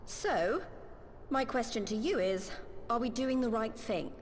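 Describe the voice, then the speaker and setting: An actress playing an earnest, plain-spoken young heroine in a video game cutscene, thoughtful and resolute. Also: female voice, fairly high pitch, lively intonation, a little slow, a little hiss or hum. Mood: doubtful, pensive.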